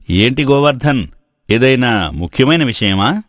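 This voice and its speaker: A man's voice: medium pitch, lively intonation, slow pace, no room sound, studio quality. A man playing a dutiful, earnest elder brother in a family moral story. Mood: dismayed.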